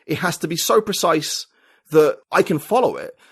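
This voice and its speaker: A man's voice: on the high side, animated, normal speed, creaky, husky. A man talking as a podcast guest, thinking aloud in a rambling, reflective way with many fillers and restarts. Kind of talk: casual conversation.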